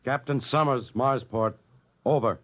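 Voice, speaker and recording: An actor playing a strained, earnest spaceship captain who gives insistent orders, in old-time radio drama style. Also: male voice, medium pitch, unhurried, very noisy, lightly acted. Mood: strained, testy. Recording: dull vintage radio recording.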